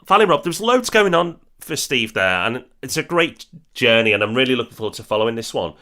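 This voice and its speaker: Bright-voiced male podcast host. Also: mid-range voice, sing-song, quick pace. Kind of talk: scripted podcast narration.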